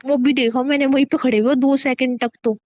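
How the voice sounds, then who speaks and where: High-voiced, bossy young wife played by a woman. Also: fairly even intonation, a little fast. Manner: scolding, bossy, playfully exasperated. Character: irritable, teasing pregnant young wife bossing her husband. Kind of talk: naturalistic Hindi audio drama of couple banter. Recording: dull lo-fi audio.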